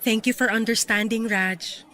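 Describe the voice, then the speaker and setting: An actress with a Filipino accent playing a young single woman in a radio drama, teasing but guarded and expressive. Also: a little high, a little slow. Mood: gently firm.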